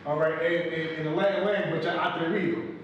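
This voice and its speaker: A man's voice: on the high side, fairly even intonation, a little fast, lo-fi sound. A man hosting an interview, talking to his guest in casual, brotherly slang. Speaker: interviewer host. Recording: echoey room, far from mic, light noise.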